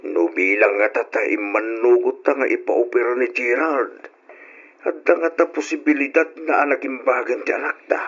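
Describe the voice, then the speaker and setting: An elderly father weighed down by trouble. His husky voice is hesitant and concerned, in old radio-drama style, with dull recorded sound. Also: medium pitch, moderate pace, a bit bright. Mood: hopeful, troubled. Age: older.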